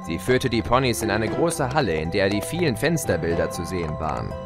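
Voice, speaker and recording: A man playing a gloating, mischievous spirit of chaos who tells his tale in a theatrical, mocking, drawn-out way for an audio drama. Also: on the low side, sing-song, over music. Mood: smug storytelling. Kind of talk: German audio drama narration and character acting.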